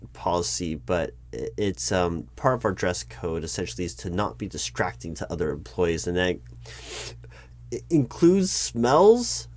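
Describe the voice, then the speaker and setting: Halting, awkward man in a mock interview. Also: on the low side. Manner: hesitant, halting, full of ums and restarts; polite, awkward, stiffly corporate. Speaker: recruiting manager in a roleplay. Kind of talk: one-sided job interview roleplay.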